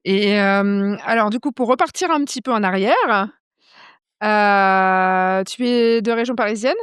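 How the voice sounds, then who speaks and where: A woman hosts a podcast conversation and asks her questions in a loose, chatty way, filling pauses as she goes. Her voice sits at a middle pitch and sounds close and dry. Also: clean tone, dry recording. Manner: relaxed and chatty, with frequent fillers. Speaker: podcast host. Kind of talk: casual interview chat.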